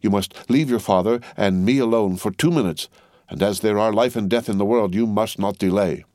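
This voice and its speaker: A male narrator acts out an elderly gentleman from a storybook. He is anxious and protective, speaking with earnest, solemn urgency. The sound is dry and intimate. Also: fairly low pitch, a little fast, no room sound, close to an everyday voice. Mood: urgent, soothing.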